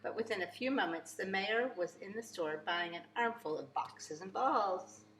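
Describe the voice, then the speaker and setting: Female storybook reader for young children. Bright, crisp-edged delivery, emphatic and expressive. Roomy sound with faint noise. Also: medium pitch, normal speed. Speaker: preschool teacher reading aloud. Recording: echoey room, faint background noise.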